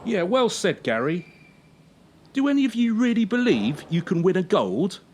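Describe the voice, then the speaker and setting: An actor playing a harsh former champion turned gymnastics coach in a radio play, scolding with sarcasm and pointed pauses. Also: mid-range voice, sing-song, darkish tone, slight background noise, natural voice. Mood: intimidating. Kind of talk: radio drama acting.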